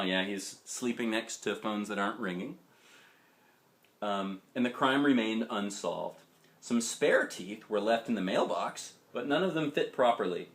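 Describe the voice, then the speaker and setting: A man narrates a children's picture book in a highly animated voice. He leans on key words dramatically, then slips into casual asides and questions to whoever is listening. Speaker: dad reading a picture book aloud, show host. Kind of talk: expressive children's storybook narration with chatty asides.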